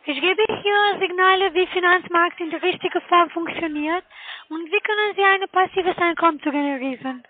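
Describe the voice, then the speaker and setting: Female telemarketer, very high voice, foreign accent, non-native phrasing, thin muffled phone line. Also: normal speed. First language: not German. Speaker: cold caller selling online investments. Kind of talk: phone sales pitch. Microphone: telephone call.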